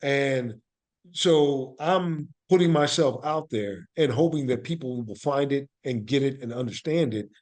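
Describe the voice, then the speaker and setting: Guy being interviewed, mid pitched voice, earnest and motivational, repeats himself to drive points home. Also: a little slow, a little mellow. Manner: earnest, motivational, emphatic repetition. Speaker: podcast guest, actor and playwright. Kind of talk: reflective interview talk.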